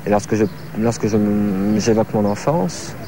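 Halting man in his thirties, street noise. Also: on the low side. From France. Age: 30s. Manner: halting, searching for words, many fillers. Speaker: choreographer. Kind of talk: interview answers.

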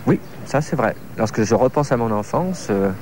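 A man in his thirties, a choreographer from France, answering interview questions in a halting way, searching for words with many fillers. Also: mid-range voice, lively intonation, a little mellow, a little hiss or hum. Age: 30s.

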